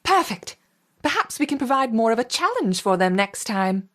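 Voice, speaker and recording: A woman's voice: on the high side, big pitch swings, normal speed, a bit bright. A woman playing an authoritative lead scientist in a video game, with a clipped, commanding manner. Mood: pleased. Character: authoritative female lead scientist overseeing experiments. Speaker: research supervisor character. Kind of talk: video game character acting.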